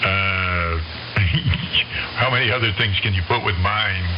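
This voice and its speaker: A man in a relaxed, chatty exchange as an amateur radio operator, heard over a shortwave link. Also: deep voice, takes it slowly. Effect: shortwave radio transmission. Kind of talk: casual rag-chew radio chat. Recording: muffled, hissy amateur radio signal.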